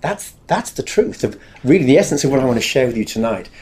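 A man's voice: medium pitch, sing-song, fast, darkish tone. An English man with a big, carrying voice, giving a chatty, self-deprecating talk to a live audience and addressing the room directly.

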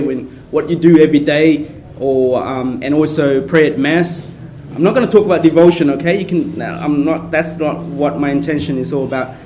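A soft-spoken man from Vietnam, a Capuchin priest, leading a prayer workshop for a small group in a casual way and leaning on his notes. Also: on the high side, slight background noise. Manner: soft-spoken, relies on notes, chatty asides. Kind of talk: informal prayer workshop talk.

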